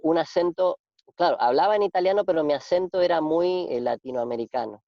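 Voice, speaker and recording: A man in his forties from Argentina, with an Argentine Spanish accent, talking reflectively in a casual interview, pausing and restarting often. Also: a little high, dry recording. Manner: reflective, halting with many restarts and fillers. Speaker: interview guest. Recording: dull, dry lo-fi sound.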